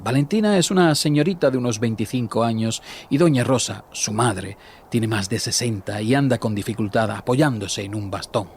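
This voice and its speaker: An actor from playing a dry, matter-of-fact storyteller in a scripted radio play. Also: male voice, mid-range voice, moderate pace, a little mellow, a little hiss or hum, natural voice. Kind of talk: scripted radio drama narration.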